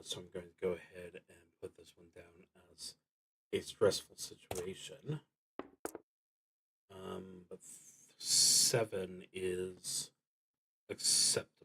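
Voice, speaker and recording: A man streaming a solo tabletop roleplaying game, reading prompts and thinking aloud in a halting, hesitant way that trails off. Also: sits low, gravelly, sharp tone, slight background noise, low-quality recording.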